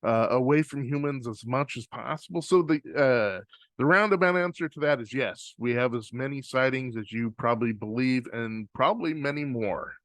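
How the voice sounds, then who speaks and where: A man's voice: mid-range voice, moderate pace, a bit bright, no echo. A man hosting a podcast and reading listener mail in casual chat, rambling with frequent fillers and restarts. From US Pacific Northwest. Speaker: podcast host. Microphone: close studio microphone.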